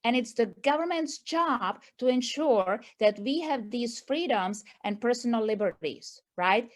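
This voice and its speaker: A woman's voice: on the high side, expressive, unhurried. A middle-aged woman from Czechoslovakia with a Czech accent, speaking earnestly to a group as the founder of an advocacy movement and often adding "right?" to her points. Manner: earnest, repeats points with tag questions. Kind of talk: speech to a group meeting.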